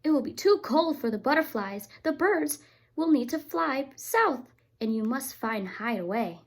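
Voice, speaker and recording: A woman's voice: high-pitched, expressive, unhurried, heavily acted. A woman voicing an earnest, worried young male fox from a storybook, giving urgent, emphatic warnings.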